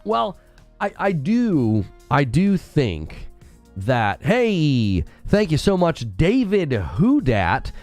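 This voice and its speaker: A man hosting a gaming news live stream, chatty and opinionated, his voice slightly hoarse and lower while recovering from being ill. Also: wildly swooping pitch, drawn-out words, a little mellow, faint music behind. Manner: opinionated, chatty, thanks viewers by name. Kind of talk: live-stream commentary and chat shout-outs.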